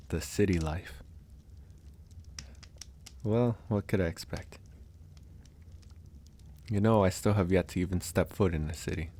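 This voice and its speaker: Guy doing a young werewolf for asmr roleplay, really low voice, slow n soothing, gentle loner, bit of noise in the background. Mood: wistful, calm. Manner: soft-spoken, reassuring, unhurried. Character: gentle young male werewolf living alone on a mountain.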